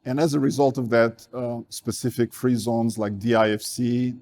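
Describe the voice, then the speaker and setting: A man from Portugal, a guest in an interview, speaking in a measured way with frequent fillers. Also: medium pitch, flat delivery, darkish tone. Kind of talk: casual interview talk.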